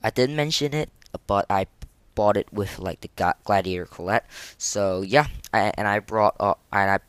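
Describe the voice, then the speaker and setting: A man hosting a casual solo gaming podcast, speaking in a halting way and often stumbling and repeating words. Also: fairly low pitch, smooth, dry recording. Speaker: podcast host.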